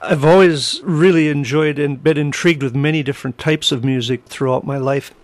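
A man's voice: on the high side, steady tone, fairly quick, no echo. A middle-aged man, telling his story reflectively as an interview guest. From Wisconsin, United States. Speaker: interview guest, singer and musician.

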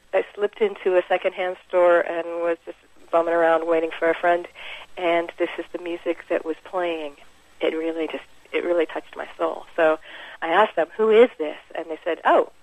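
A woman's voice: fairly low pitch, dry recording, poor audio. An American woman, a musician, speaking casually and informally as an interview guest. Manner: chuckles often, informal.

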